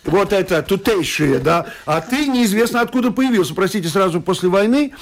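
Radio panel guest, male political commentator with a slightly higher voice who hesitates often and restarts his sentences. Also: lively intonation, brisk, creaky. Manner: hesitant, many fillers and restarts.

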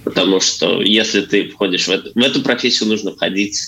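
A man, an actor appearing as a studio guest, answering earnestly and haltingly as he searches for words and repeats phrases. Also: deep voice, pushed and bright, poor audio. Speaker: actor as talk show guest. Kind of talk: earnest conversational interview answers. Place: radio studio.

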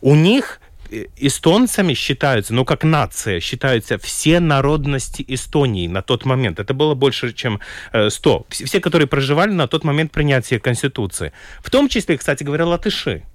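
A man's voice: medium pitch, very animated, dry recording, studio quality. Man speaking Russian. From Latvia. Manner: halting, thoughtful, with chuckles and restarts. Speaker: orientalist guest. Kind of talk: radio talk show discussion.

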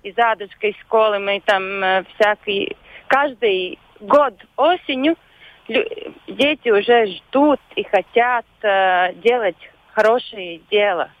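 A woman with a Latvian accent, whose first language is Latvian, speaks as a guest on a radio show by phone. She talks hesitantly and often pauses to search for words. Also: mid-range voice, no room sound. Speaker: volunteer guest. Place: phone call into a radio studio. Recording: dull lo-fi phone line.

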